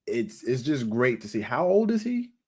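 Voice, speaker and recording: A young man co-hosting a casual music review podcast, unhurried and emphatic, often restarting his sentences. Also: medium pitch, very animated, dark tone. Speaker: podcast co-host.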